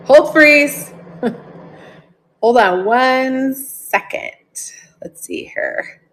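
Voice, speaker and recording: A slightly hoarse woman hosting a live sale stream, chatting casually, greeting viewers by name and laughing often. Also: sits high, expressive, very slow, low-quality recording.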